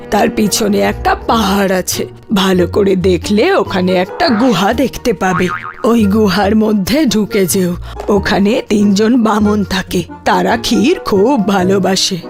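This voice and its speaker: A woman voicing a kindly old village woman in a cartoon fairy tale, gentle and motherly as she guides. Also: mid-range voice, lightly acted.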